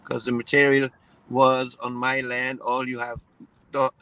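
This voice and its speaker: A man from Iran, raised in Germany, talking in a relaxed conversation with many hesitant fillers and restarts. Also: mid-range voice, unhurried, smooth, no echo, low-quality recording. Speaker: guest. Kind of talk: casual conversation.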